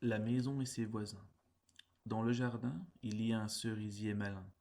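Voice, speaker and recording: A man reciting poems in a measured, deliberate way, phrase by phrase. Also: fairly low pitch, level tone, darkish tone. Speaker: poetry reader. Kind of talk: poetry recitation.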